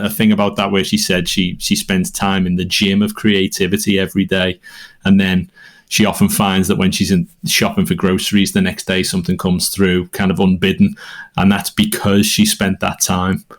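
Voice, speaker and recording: Brief: Liverpool man, low voice, songwriter musing loosely on craft. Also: level tone, moderate pace. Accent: Liverpool English. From England. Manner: thoughtful, rambling, with fillers and restarts. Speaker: songwriter and podcast co-host. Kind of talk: conversational interview answers.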